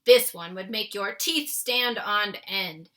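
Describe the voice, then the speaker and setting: A woman voicing a big friendly male giant from a children's storybook, excitable and emphatic, with quaint muddled grammar. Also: medium pitch, slow. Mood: distressed, dire warning. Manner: quaint muddled grammar with invented nonsense words; exclamatory, emphatic delivery.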